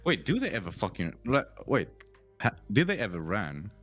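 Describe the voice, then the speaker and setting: A young man chatting casually, halting and repeating words as he goes, with casual swearing. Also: fairly low pitch, huge pitch swings, a bit bright, soft background music. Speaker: podcast co-host. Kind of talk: casual chat.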